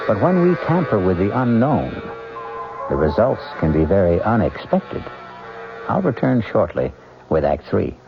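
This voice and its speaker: A man's voice: lowish voice, very animated, unhurried, gravelly. An American man narrating a mystery radio drama as host, in an ominous, theatrical storyteller's manner. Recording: dull old radio broadcast, music behind.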